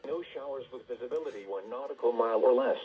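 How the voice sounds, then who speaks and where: A man's voice: mid-range voice, quick pace, crowd noise. A man reading a scripted forecast as a broadcast announcer, heard through a radio speaker. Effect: played through a radio speaker. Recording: noisy, dull weather radio speaker playback.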